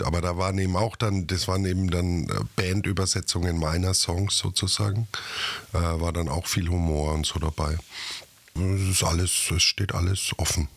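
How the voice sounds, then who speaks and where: A man's voice: deep voice, no room sound. A man, a musician and cartoonist talking hesitantly in a casual podcast conversation, full of fillers and self-doubt. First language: German. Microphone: podcast microphone.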